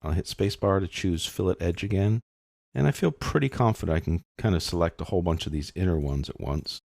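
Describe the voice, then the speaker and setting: Male tutorial instructor, deep and husky, informal, explains each step as he goes. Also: brisk, darkish tone, dry recording, clean studio sound. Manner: informal, explanatory, thinking aloud. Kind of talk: unscripted step-by-step screen tutorial narration.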